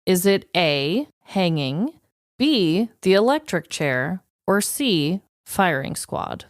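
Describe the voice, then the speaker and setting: A woman with an American accent co-hosting a podcast, chatting casually in an expressive, exclamatory way. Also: lowish voice, takes it slowly, no room sound, clean studio sound. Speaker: podcast co-host. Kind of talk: casual banter and trivia chat.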